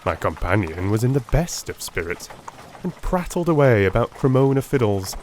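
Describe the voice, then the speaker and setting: A man narrating an audiobook in a dramatic, measured, literary style. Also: medium pitch, very animated, a little mellow, a little hiss or hum, poor audio.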